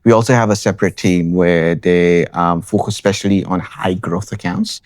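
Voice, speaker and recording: A young man from Nepal, answering interview questions in a relaxed way, often restarting phrases with ums. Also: deep voice, moderate pace, no room sound, clean studio sound. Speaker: interviewee, account manager.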